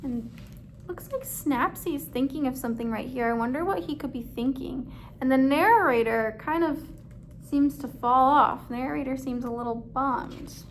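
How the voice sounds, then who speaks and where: A woman reading a children's story aloud as a teacher, pausing to put questions to young listeners. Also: sits high, slight background noise.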